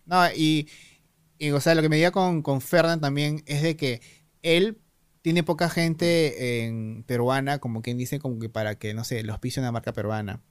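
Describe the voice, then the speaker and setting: A man's voice: a little high, fairly even intonation, moderate pace, smooth, no room sound, clean studio sound. A man chatting casually in a group, easygoing and agreeable, with hesitant fillers.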